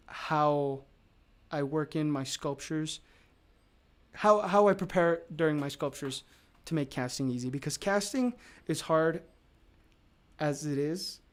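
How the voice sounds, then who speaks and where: A man with a raspy, low voice from allergies, talking casually and rambling to viewers as he demonstrates mold casting. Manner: rambling, with many fillers and restarts. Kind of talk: casual livestream talk to viewers.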